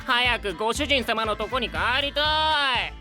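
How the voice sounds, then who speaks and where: A man's voice: very high voice, animated, unhurried, pushed and bright, heavily acted. A man playing a cheerful, polite and easygoing young knight in a comedic audio drama, with an airy, playful manner. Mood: breezy. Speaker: voice actor. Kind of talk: animated comedic character banter. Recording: studio voice over prominent background music.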